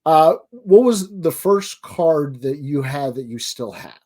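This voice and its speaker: A man's voice: a little high, animated, slow pace, a little mellow. A chatty man in his thirties with a Baltimore accent, hosting from his home office over a video call. He jokes easily and trips over his words, repeating himself as he goes. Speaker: host. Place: home office with framed pictures. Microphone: webcam video call.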